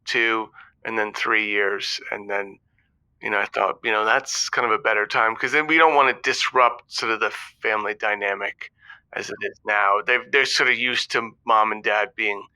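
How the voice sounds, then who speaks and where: A man playing a hesitant, evasive middle-aged father who calls a comedy show, halting and nervous. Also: lowish voice, fairly even intonation, pushed and bright, clear, resonant tone, no echo, low-quality recording. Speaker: caller on a call-in show. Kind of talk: improvised comedy call-in.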